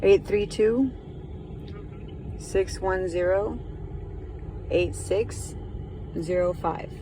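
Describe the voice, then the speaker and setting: Hesitant woman caller with background noise. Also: mid-range voice. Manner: hesitant, halting. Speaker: caller reporting to sheriff's department. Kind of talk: explanatory phone conversation. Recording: noisy phone call, car and traffic noise.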